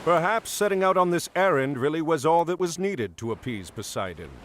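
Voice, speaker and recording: A seasoned, proud admiral of Greek myth in a game. His stern, resolute voice is fairly high and very lively in pitch, with faint noise behind it. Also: a little fast. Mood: firm. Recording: faintly noisy game capture.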